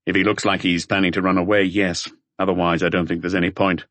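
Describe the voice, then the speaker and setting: A man voicing a calm, authoritative police chief inspector in an audiobook, terse and matter-of-fact. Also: deep voice, quick pace, no echo. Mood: commanding.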